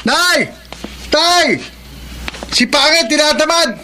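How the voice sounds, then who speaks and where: An actor playing a spiteful, tattling young stepbrother who taunts in a Filipino accent, radio drama style. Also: male voice, extremely high pitch, slow pace, pushed and bright, a little hiss or hum, heavily acted. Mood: mocking. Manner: taunting, whiny.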